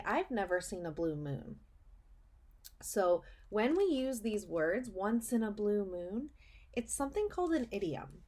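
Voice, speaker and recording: A woman's voice: medium pitch, darkish tone. Woman leading a children's read-aloud lesson. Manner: explanatory, pausing to question the listener, emphatic on key phrases. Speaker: speech and language teacher reading a story aloud.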